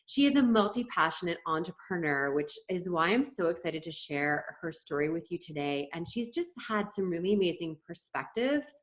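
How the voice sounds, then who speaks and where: A woman's voice: on the low side, expressive, normal speed, hardly any pauses. A woman hosting a casual interview podcast, upbeat and enthusiastic, laughing easily as she talks. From Canada. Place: home in a small coastal community.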